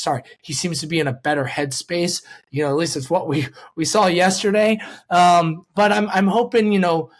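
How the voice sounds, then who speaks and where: A man hosting a sports podcast in a casual, rambling way, repeating words and correcting himself as he goes. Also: sits high.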